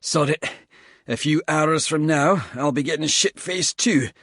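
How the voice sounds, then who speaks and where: A man voicing a gruff, burly soldier who talks bluntly and swears while short of breath. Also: on the high side, measured pace, fairly bright tone, no echo, clean studio sound, pitched above the performer's own voice. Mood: mocking banter.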